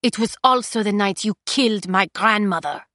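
A woman reading aloud, voicing a hoarse but defiant young woman prisoner. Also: mid-range voice, moderate pace, sharp tone, dry recording, clean studio sound, lightly acted. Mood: defiant, raised.

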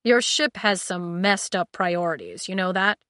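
A cool, sarcastic young man who drawls his jabs deadpan, voiced by a woman narrator. The voice sits only a touch lower than her own and sounds bright and dry. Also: unhurried, clean tone, no echo. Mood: sardonic.

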